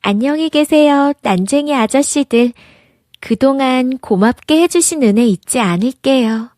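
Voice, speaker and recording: Lady doing a fairy tale princess, high sweet voice, young, polite and innocent. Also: animated, unhurried, darkish tone, no echo, clean studio sound. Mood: grateful farewell. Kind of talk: storybook character voice.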